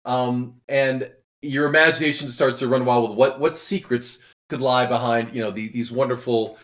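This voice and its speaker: A man being interviewed as an audio drama creator, talking in a thoughtful, halting, conversational way and circling back over his phrases. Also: medium pitch, steady tone, a bit bright.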